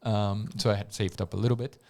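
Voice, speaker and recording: A man's voice: on the low side, fairly even intonation, fast talker, a little mellow. A man from Colombia, a guest engineer, telling his story on a casual radio talk show with many restarts and repeated words.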